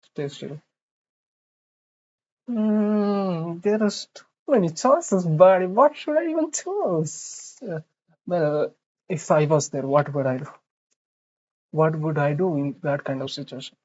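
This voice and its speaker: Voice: mid-range voice, lively intonation, slow, long pauses, darkish tone, muffled recording. A game streamer reading a visual novel aloud with casual side comments, stumbling now and then over the lines. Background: game music.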